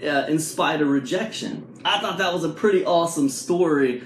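A man in his forties telling a motivational story to camera in a casual, animated way, full of fillers and rhetorical questions, filmed with a phone at arm's length in a small room at home. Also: on the high side, moderate pace, a little hiss or hum. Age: 40s. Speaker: vlog host. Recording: echoey room sound.

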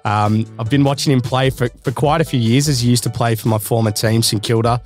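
A man's voice: mid-range voice, steady tone, brisk, darkish tone, faint music behind. Chatty Australian podcast host in his thirties. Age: 30s. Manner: chatty, laughs often, matey slang. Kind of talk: casual podcast interview. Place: small studio room with couch. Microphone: boom-mounted podcast mic.

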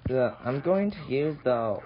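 A man hosting a casual hobby app tutorial, speaking haltingly and repeating his phrases. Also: mid-range voice, lively intonation. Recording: dull lo-fi audio with noise.

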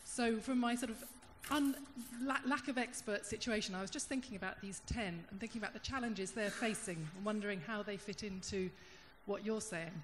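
Woman speaking from the floor of a hall. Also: mid-range voice. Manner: thoughtful, searching, with pauses and restarts. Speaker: audience member at a panel. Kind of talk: spontaneous audience comment. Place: large conference hall. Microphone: handheld microphone. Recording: hall reverb, faint room hum.

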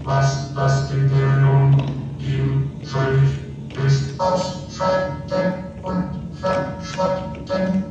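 An actor playing a matter-of-fact, resigned technician in a science fiction radio drama, speaking in a dry, unhurried way. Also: male voice, medium pitch, completely flat delivery, music in the background. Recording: distant, echoey, slightly dull recording.

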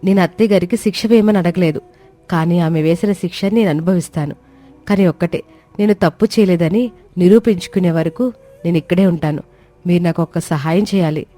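A dutiful young wife voiced by a woman in a cartoon family tale. She speaks politely and with measured firmness, dignified and determined, in a slightly low register. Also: mellow, soft background music, natural voice. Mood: hurt, resolute. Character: patient, dutiful young daughter-in-law, quietly determined and dignified. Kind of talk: dramatized animated moral story.

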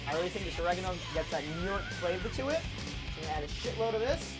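A man's voice: high voice, lively intonation, fast talker. Jokey young man hosting, guitar music behind. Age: young adult. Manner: jokey, slangy, bro-like. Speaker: cooking show host. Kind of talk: casual cooking tutorial. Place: home kitchen. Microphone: clip-on lapel mic.

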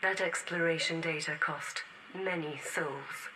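An actress playing a defiant rebel woman leaving a grave final testament in a science-fiction game audio log, solemn, urgent and deliberate. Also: deep voice, steady tone, background noise. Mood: grave, accusing.

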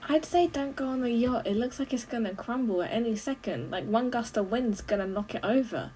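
A young adult woman giving casual, expressive running commentary as she reacts to a film, full of exclamations. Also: a little high, fairly quick, slight background noise, poor audio. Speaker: movie reaction host. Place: small bedroom.

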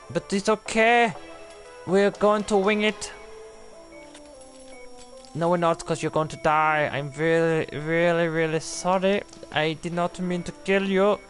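A young man streaming a game, putting on a mock German-style accent that drifts into a made-up one, swearing and shouting in excitement. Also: a little high. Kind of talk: live let's-play commentary.

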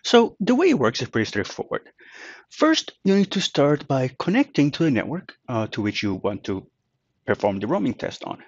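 Male tutorial presenter, mid-pitched, conversational and explanatory, dry and slightly muffled sound. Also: big pitch swings, moderate pace, breathy edge, no room sound. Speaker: tutorial presenter, company manager. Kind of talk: informal software tutorial narration.

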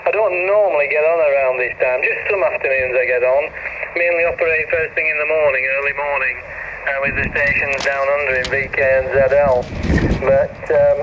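A man's voice: a little high, phone-quality sound. A man chatting casually as an amateur radio operator, friendly and hesitant, heard through a shortwave radio speaker. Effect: shortwave radio transmission. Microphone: camera picking up radio speaker. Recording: thin radio-speaker sound with background noise.

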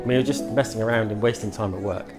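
A man's voice: fairly low pitch, fast. A middle-aged man giving a playful, conversational, light-hearted groom's speech at a wedding. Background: music.

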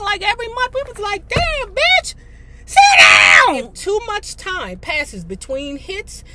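A woman's voice: sits high, bright, forceful tone. An American woman in her fifties hosting a video, reading aloud and commenting in a blunt, sassy, casual way. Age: 50s. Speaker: video host. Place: inside a parked car.

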